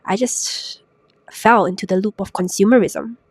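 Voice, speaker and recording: A young woman hosting a solo podcast while sick, her voice raspy and congested, chatty and self-mocking with lots of rhetorical questions. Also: medium pitch, fairly even intonation, moderate pace, darkish tone, dry recording. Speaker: podcast host. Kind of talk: casual solo podcast talk. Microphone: new podcast microphone.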